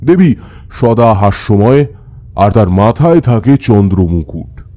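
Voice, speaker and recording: Man as a veteran tantric, deepish soft-edged voice, measured storytelling, reverent, audio horror drama. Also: big pitch swings. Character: Taranath, seasoned male tantric recounting his past.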